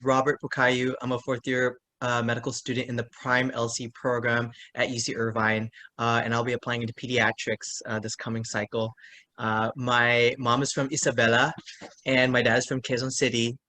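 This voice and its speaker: Bright-toned young male student, plain hesitant intro. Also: medium pitch, level tone, moderate pace. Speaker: medical student.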